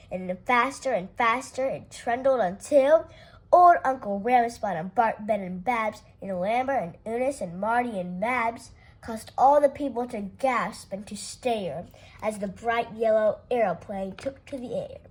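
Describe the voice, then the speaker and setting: A woman reading a rhyming children's picture book aloud with playful, expressive delivery. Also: fairly high pitch, breathy edge. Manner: unhurried, expressive read-aloud with playful character voices. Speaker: storytime reader.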